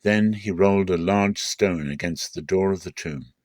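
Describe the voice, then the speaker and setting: A man narrating a Bible passage as a measured, deliberate dramatic scripted reading. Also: low-pitched, darkish tone, no room sound, clean studio sound.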